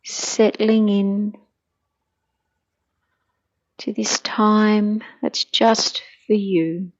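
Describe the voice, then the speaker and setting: A middle-aged woman leading a guided meditation in a gentle, soothing, hushed manner that turns breathy at times. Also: medium pitch, fairly even intonation, extremely slow, lo-fi sound.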